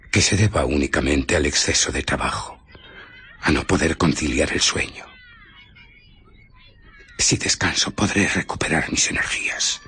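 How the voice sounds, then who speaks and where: An actor with a Castilian Spanish accent playing an obsessed, irritable scientist in a radio drama, brooding and intense. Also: male voice, extremely low pitch, bright, forceful tone, a little hiss or hum, full character voice. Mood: brooding, secretive. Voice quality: husky. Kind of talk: radio drama acting, first-person narration.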